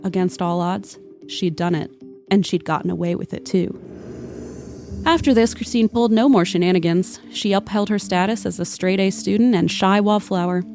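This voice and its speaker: A woman's voice: medium pitch, moderate pace, darkish tone. A woman narrating a scripted comedic tale in a mock-dramatic, literary manner. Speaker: podcast storyteller. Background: music under the voice.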